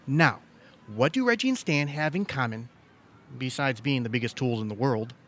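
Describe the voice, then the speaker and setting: An actor playing an obsessive, aggrieved younger brother in a comedic audio play, exasperated and insistent. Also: male voice, medium pitch, lively intonation, normal speed, fairly bright tone, a little hiss or hum, close to an everyday voice. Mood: insistent, agitated. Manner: exasperated, insistent, leading questions like a cross-examination. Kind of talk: comedic audio theater dialogue.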